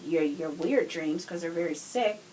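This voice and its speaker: A woman with a fairly low voice talks on a casual podcast. She explains her views in an earnest way, with faint noise behind her. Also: animated, normal speed, a little mellow, low-quality recording. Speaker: podcast co-speaker.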